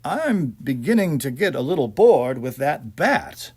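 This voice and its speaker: A man voicing a nervous character lost in the dark for a story, muttering hesitantly with uneasy bravado. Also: fairly high pitch, sing-song, unhurried, breathy edge, heavily acted. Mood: forced calm. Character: nervous man lost in darkness, forcing himself calm.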